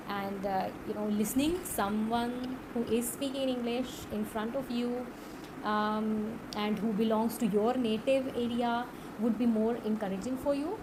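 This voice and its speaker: Earnest Pakistani-accented woman tutor. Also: on the high side. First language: Urdu. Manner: earnest, unhurried, many filled pauses. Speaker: online course tutor. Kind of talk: direct-to-camera teaching talk. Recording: audible background noise.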